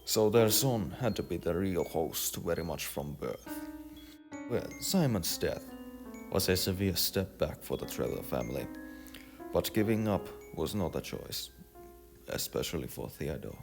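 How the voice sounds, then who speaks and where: A man narrating a scripted story dramatically, in a halting delivery with stumbles and repeated phrases. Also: low voice, lively intonation, vocal fry. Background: music.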